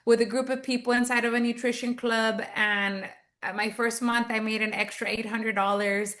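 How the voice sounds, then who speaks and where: A woman's voice: fairly high pitch, flat delivery, moderate pace, fairly bright tone. A woman in her thirties from Texas, telling her story in a chatty, upbeat way with lots of fillers, as a guest on a video call. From Texas, United States. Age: 30s. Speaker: guest, business owner. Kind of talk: casual interview on a video call.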